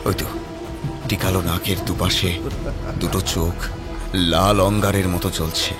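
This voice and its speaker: A man's voice: low-pitched, little pitch movement, unhurried, over loud music. A man narrating a scripted horror story in a theatrical, suspenseful manner.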